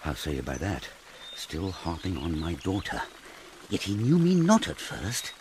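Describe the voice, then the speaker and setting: An actor playing a fussy, scheming old courtier with an obsequious, deferential manner in a Shakespearean radio drama. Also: male voice, low voice, huge pitch swings, a little slow, noisy background, full character voice. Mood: musing aside. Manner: obsequious, muttering asides.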